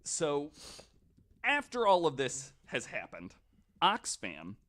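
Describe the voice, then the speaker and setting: A man's voice: on the high side, wildly swooping pitch, slow pace, a bit bright. A man casually telling a researched story on a podcast, in an informal, somewhat hesitant way.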